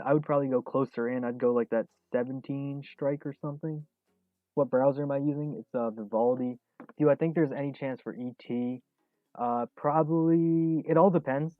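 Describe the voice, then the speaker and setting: A man's voice: medium pitch, normal speed, dry recording, narrow, tinny audio. A man in his early twenties hosting a casual trading livestream, answering viewer questions with frequent chuckles and fillers. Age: 20s. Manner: relaxed, chuckles often, many fillers. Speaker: stock trading livestream host.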